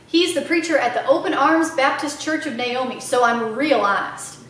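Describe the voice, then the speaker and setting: A woman's voice: high-pitched, normal speed, fairly bright tone, far from the mic. A woman voicing an earnest, polite ten-year-old girl, sincere and pleading, in a children's storybook reading. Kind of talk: children's storybook read-aloud. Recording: echoey room.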